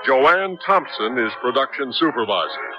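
A man's voice: medium pitch, big pitch swings, normal speed. A man reading scripted credits as announcer for a 1970s radio theater. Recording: dull 1970s radio recording with music.

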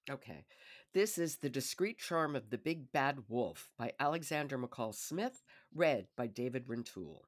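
A woman's voice: rumbling low, animated, unhurried. A woman hosting a podcast in a conversational book-review style. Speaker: podcast host.

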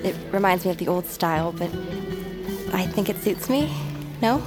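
A woman playing a kind, demure young market woman in a fantasy audio drama, gentle and formally spoken. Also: on the low side, lively intonation, normal speed, loud music behind the voice, lightly acted. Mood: friendly, demure.

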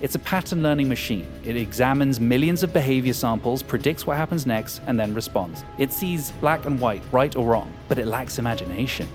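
An actor playing an arrogant, power-hungry hacker in an audio drama, with a smug, cynical and sardonic manner. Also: male voice, medium pitch, expressive, fairly quick, hardly any pauses, over music, lightly acted. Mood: confident, explaining. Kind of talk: audio fiction acting.

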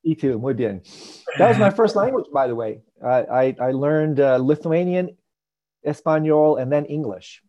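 A man hosts an online group call, talking casually with plenty of asides and jokes. His voice has a mellow, warm color. The audio comes through a dull, lo-fi video call connection. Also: fairly high pitch, normal speed. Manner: animated, jokey, digressive. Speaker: host and meditation teacher. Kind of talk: casual teaching talk and Q&A.

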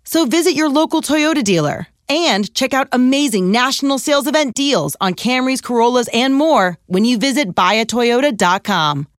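A woman with a fairly high voice reads a scripted car commercial. Her delivery is polished and promotional, recorded dry with no audible room. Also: lively intonation, normal speed, hardly any pauses, no room sound. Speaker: commercial announcer.

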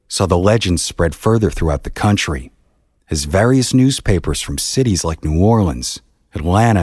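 A man narrating a scripted historical tale in a measured, solemn manner. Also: low-pitched, a little mellow, slightly rough, dry recording, clean studio sound. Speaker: narrator, podcast host.

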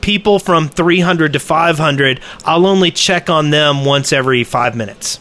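A man with a slightly high voice talks as a podcast co-host. The tone is very bright and tense, and the delivery is casual and conversational. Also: normal speed. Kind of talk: casual group discussion.

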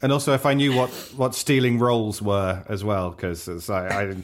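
A man's voice: fairly low pitch, flat delivery, moderate pace, slight background noise. A man chatting casually in a slightly hesitant, self-correcting way. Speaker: cast member of an audio drama show. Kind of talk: casual chat.

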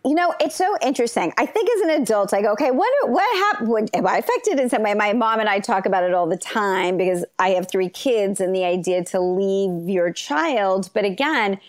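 A middle-aged woman with an American accent telling her life story as an interview guest, animated and quick to jump up in pitch for emphasis. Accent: General American. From London, then Los Angeles from age nine. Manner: animated, rising to high emphasis, laughs while talking.

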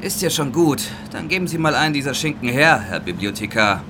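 A man's voice: medium pitch, big pitch swings, a little fast, music in the background, lightly acted. A man playing a bored, lazy, grumbling train conductor in a children's audio play, sceptical and colloquial. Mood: grudging. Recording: loud train ambience noise behind.

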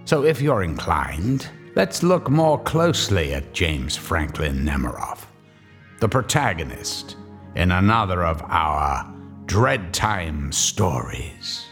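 Narrator: man, low and swooping, theatrical horror intro, soft music. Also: very animated, takes it slowly. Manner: ominous, theatrical.

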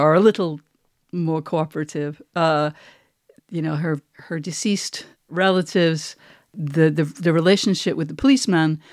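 Low-pitched woman hosting a dry-sounding interview. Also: darkish tone, dry recording. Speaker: podcast host, interviewer. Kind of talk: conversational interview.

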